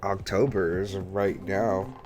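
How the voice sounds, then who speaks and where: A man's voice: low-pitched, level tone, slow pace, over music. A man hosting a casual gaming podcast, chatting while congested from allergies. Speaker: podcast host.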